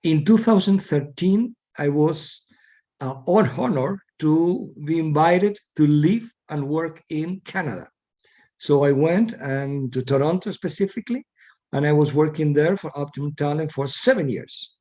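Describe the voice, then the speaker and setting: A man's voice: on the high side, measured pace, warm tone, highs cut off. A middle-aged man from Argentina with an Argentine Spanish accent, a guest in an interview, speaking thoughtfully and often repeating words as he searches for phrasing. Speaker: guest, executive coach. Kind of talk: casual interview talk.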